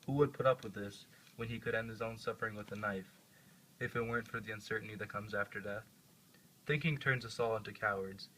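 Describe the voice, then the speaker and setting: A man's voice: fairly low pitch, fairly quick, fairly bright tone, slight background noise, close to an everyday voice, low-quality recording. A man playing a grieving, bitter young prince in an amateur puppet show. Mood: brooding.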